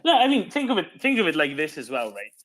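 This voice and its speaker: A man's voice: fairly high pitch, wildly swooping pitch, fast, fairly bright tone. A man hosting a live news discussion, explaining developments off the cuff and often checking in with a "right?". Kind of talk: unscripted news commentary and discussion.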